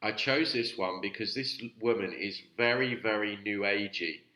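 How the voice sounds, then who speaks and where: A man's voice: lowish voice, moderate pace, sharp tone. Nasal-sounding man vlogging in an echoey room. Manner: chatty, rambling, with many fillers. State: nasal from a cold. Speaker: YouTube channel host reviewing videos. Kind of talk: casual vlog commentary.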